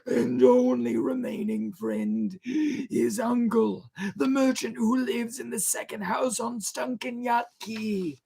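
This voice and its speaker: A reader voicing a weary ghostly sailor who pleads in a high, strained, sobbing voice. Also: fairly even intonation, slow, vocal fry, few pauses, slightly rough, heavily acted. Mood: anguished. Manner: drawn out, crying and shouting by turns.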